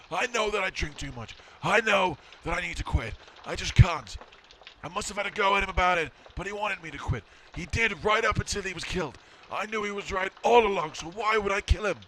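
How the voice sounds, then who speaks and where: A man plays a hard-drinking farmer's son in a comic audio drama, self-pitying and insistent. The voice is high for a man and very sharp, with slight noise behind it.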